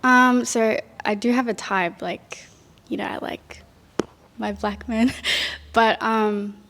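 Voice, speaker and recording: Hesitant young woman, flat delivery. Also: a little high, slow. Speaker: panel guest. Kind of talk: casual discussion. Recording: long reverb.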